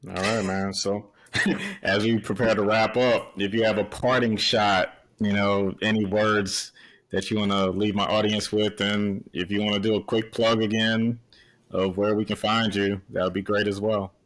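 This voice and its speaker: Man, low voice, relaxed podcast host, affirming, casual. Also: moderate pace. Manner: relaxed, affirming, frequent short backchannels.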